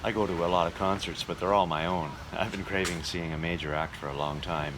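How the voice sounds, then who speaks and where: A man's voice: low voice, fairly even intonation, a little fast, background noise. A man narrating a travel vlog as a touring entertainer.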